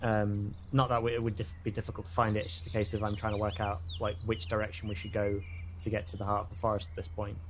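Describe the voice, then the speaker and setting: A man's voice: on the low side, completely flat delivery, quick pace, a little hiss or hum. A man with a British accent playing a tabletop role-playing game, thinking aloud in casual, hesitant chat.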